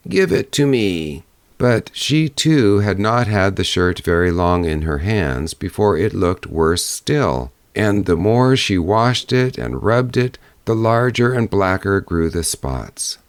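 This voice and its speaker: Deep-voiced male storybook narrator. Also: smooth, dry recording. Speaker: audiobook narrator. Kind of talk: scripted fairy-tale narration.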